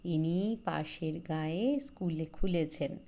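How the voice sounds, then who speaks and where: A woman's voice: low-pitched, slow, mellow, lo-fi sound, voice pushed down. A woman voicing a familiar, talkative older village man in an audiobook story. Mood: welcoming. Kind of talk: audiobook character dialogue.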